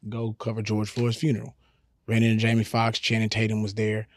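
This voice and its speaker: A man's voice: on the low side, completely flat delivery, a little fast, no room sound, studio quality. A middle-aged man telling stories casually as a podcast guest, candid and profane with slangy asides. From Houston, Texas. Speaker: podcast guest, comedian and radio personality.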